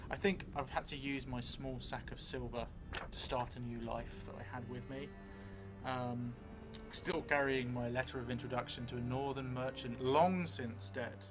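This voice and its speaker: A middle-aged man thinking aloud as he narrates a solo tabletop role-playing game, halting between phrases. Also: mid-range voice, fairly even intonation. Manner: halting, pausing between phrases while writing. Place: indoor room, seated at a table. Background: music.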